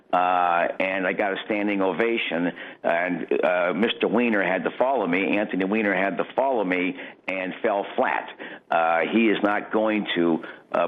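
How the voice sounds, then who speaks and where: A man speaking off the cuff as a political candidate in a radio interview, blunt and opinionated, repeating phrases for emphasis. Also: deep voice. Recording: tinny, narrow phone-like line.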